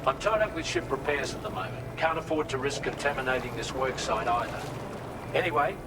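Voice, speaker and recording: A man's voice: mid-range voice, a little fast, heavy background noise, lightly acted. An actor playing an affable, composed male ship captain in a video game, speaking politely and informally. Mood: friendly, grateful. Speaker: ship captain giving orders. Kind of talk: video game cutscene dialogue.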